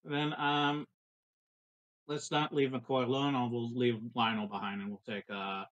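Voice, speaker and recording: Casting: male tabletop player, bright edgy voice, middle register, talkative and jokey, conversational. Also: medium pitch, flat delivery, unhurried, muffled recording. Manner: talkative, joking asides. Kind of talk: casual chat during a game.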